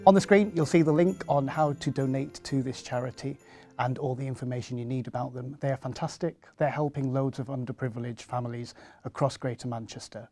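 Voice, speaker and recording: A man's voice: medium pitch, darkish tone, no room sound. A man introducing and reading a children's story for a charity. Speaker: guest story reader for a charity.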